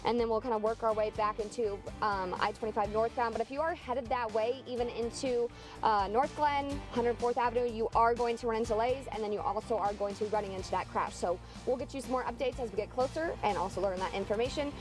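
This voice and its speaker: A woman's voice: fairly high pitch, steady tone, quick pace. An American woman giving an ad-libbed live traffic and weather report from a vehicle on the road. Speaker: TV meteorologist, live field reporter. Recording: music bed behind live report.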